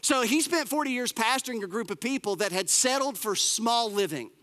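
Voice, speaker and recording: Brief: man, 40s, pastor, high voice, emphatic sermon style, echoing church hall. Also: a little fast. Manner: lively, emphatic preaching with repetition and asides.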